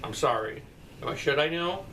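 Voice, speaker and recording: Middle-aged father, blunt rambling talker, slightly raised pitch; distant-mic bedroom recording with faint noise. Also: expressive, moderate pace, sharp tone. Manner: rambling, blunt, profane asides. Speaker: father answering viewer comments. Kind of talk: casual comment-response chat. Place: bedroom at night, indoors.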